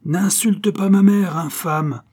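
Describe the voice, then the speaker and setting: A man's voice: a little high, lively intonation, normal speed, warm tone, husky, voice pushed up. A man voicing a furious young nobleman bent on avenging his father, with strident, accusing outbursts in an audiobook. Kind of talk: audiobook dialogue acting.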